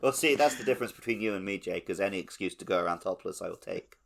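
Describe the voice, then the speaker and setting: A man's voice: sits low, fast, gravelly, a bit bright. A man chatting informally and animatedly as a podcast host. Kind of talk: casual podcast chat.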